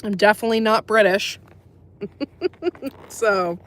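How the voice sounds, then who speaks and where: A woman's voice: medium pitch, fairly even intonation, measured pace, fairly bright tone, a little hiss or hum. Chatty woman hosting a livestream. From USA. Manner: chatty, reads and answers live chat, laughs often. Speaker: livestream host. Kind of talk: casual livestream chat.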